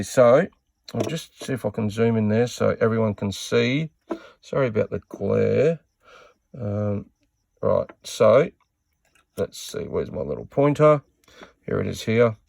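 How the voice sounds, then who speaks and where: A man's voice: on the low side, measured pace, vocal fry, long pauses, a little mellow, no room sound. A man giving a casual hands-on repair tutorial in a plain-spoken, matter-of-fact way.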